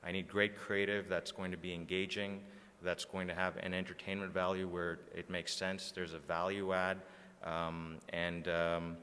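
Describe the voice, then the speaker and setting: Male conference speaker, low and bright-edged, presenting in a reverberant hall. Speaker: media agency planner, conference speaker. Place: large indoor conference room, on stage. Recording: echoey hall, long reverb.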